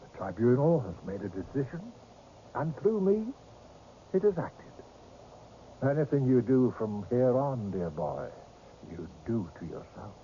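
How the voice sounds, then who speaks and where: An actor playing a cool, condescending older man from a secret tribunal in a radio drama, speaking in a measured, formal, patronising way. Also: mid-range voice, big pitch swings. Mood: smug, menacing. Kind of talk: 1980s radio drama acting. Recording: muffled, slightly noisy old radio recording.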